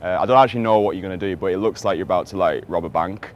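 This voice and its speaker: A man's voice: sits low, racing, a little hiss or hum. A man doing a cheeky street interview outdoors, teasing and joking with a playful manner.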